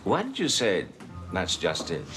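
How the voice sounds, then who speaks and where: A chummy, prying journalist. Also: male voice, sits low, huge pitch swings, measured pace, exaggerated voice. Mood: genial. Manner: matey, probing, calls others boy. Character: chummy prying male Fleet Street journalist. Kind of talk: 1980s British TV drama acting. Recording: TV soundtrack with music underneath.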